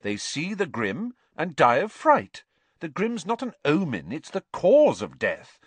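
A man puts on a brainy, bossy schoolgirl from a storybook. The pitch sits well above his own and moves in lively swoops, with a brisk, cool, superior delivery. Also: no room sound. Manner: brisk, know-it-all, cool. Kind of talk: audiobook character voice.

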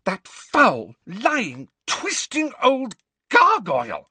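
A man's voice: high-pitched, wildly swooping pitch, normal speed, a bit bright, breathy edge, dry recording, voice pushed up, heavily acted. A man voicing an earnest, bookish teenage girl who speaks in an emphatic, impatient, insistent way. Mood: furious.

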